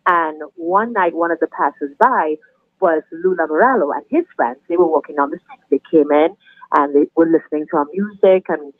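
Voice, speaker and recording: A middle-aged woman with a Trinidadian accent, chatting warmly and laughing easily as a guest on a podcast interview. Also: deep voice, moderate pace. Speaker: guest, anthem singer. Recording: tinny phone line, dry.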